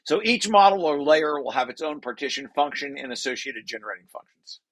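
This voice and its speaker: A man presenting a technical paper, reading passages aloud and breaking off into informal, halting explanations with repeated restarts. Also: fairly high pitch, animated, fairly bright tone, no room sound, low-quality recording.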